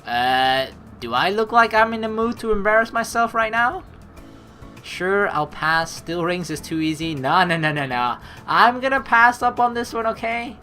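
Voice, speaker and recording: A woman's voice: mid-range voice, expressive, normal speed, pushed and bright. A woman doing a playful let's play, reading game narration aloud and adding teasing, jokey asides. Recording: music behind the voice. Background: game music.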